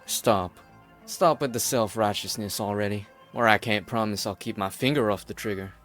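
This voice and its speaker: A man's voice: fairly low pitch, normal speed, fairly bright tone, soft background music, natural voice. A man playing a bitter, defiant outcast worker in a game fan dub, blunt and sarcastic in his speech. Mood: threatening.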